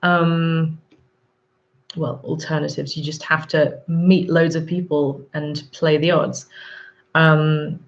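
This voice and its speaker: A woman in her thirties with a sore throat and a husky voice, hosting a live stream, chatting casually and rambling with frequent ums. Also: deep voice, little pitch movement, slow pace, highs cut off. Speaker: livestream host. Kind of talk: live chat reading viewer comments.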